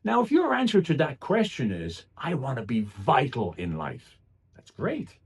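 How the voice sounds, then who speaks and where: A man's voice: medium pitch, wildly swooping pitch, unhurried, gravelly, slightly rough. A man delivering a motivational speech in an earnest, emphatic way, often posing rhetorical questions. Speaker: public speaker.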